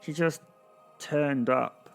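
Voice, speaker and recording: A man's voice: a little high, very slow, creaky, smooth, soft background music, voice pushed up, heavily acted. Man doing a nervous, mumbling little male clerk. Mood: nervous, flustered. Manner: hesitant, mumbling, timid.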